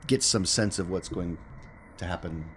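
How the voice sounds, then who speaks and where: A man with a very deep voice talks casually as a player in a tabletop game. Clear noise runs through the recording. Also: moderate pace, a bit bright. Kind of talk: casual roleplay chat.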